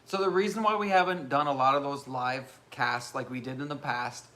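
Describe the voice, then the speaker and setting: A man in his thirties with a loud voice, hosting a casual live question-and-answer chat and rambling with self-mocking humor. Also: mid-range voice, fairly even intonation, normal speed. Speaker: channel host.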